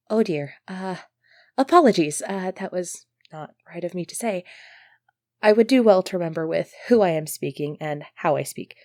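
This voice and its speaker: A woman's voice: fairly low pitch, darkish tone, no room sound, clean studio sound, close to an everyday voice. A woman playing an earnest, easily flustered medieval knight devoted to her prince, speaking politely and formally in an ASMR roleplay. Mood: flustered, apologetic. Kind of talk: ASMR roleplay acting, formal old-fashioned speech.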